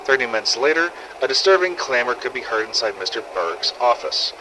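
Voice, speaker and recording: A man playing a measured, analytical outbreak investigator, giving a precise formal report in a game audio log. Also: mid-range voice, very animated, noisy background, natural voice. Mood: clinical. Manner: clinical, precise.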